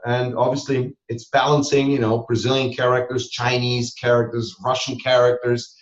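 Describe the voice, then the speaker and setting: Male interviewee, medium pitch, conversational delivery, slightly muffled sound. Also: little pitch movement, moderate pace. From Switzerland. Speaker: interview guest, hypnosis trainer and school owner. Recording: roomy echo, slightly dull sound.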